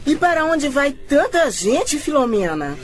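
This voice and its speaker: A woman's voice: sits high, very animated, unhurried. An actress playing a wide-eyed, curious townswoman in a radio drama, chatty and full of wonder. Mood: amazed, curious. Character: wide-eyed, curious townswoman marvelling at her changing city.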